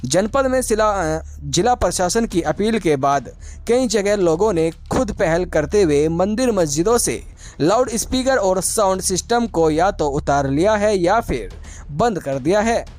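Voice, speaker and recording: A man's voice: high voice, animated, a little slow, a little mellow. A man reporting in a scripted news narration style.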